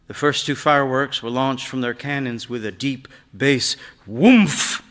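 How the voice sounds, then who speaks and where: A man reads a literary short story aloud on stage in a measured, expressive, dramatic delivery. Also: lowish voice. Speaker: reader of short fiction. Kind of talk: literary short story reading before an audience. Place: live stage in a large hall. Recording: long hall reverb of a stage.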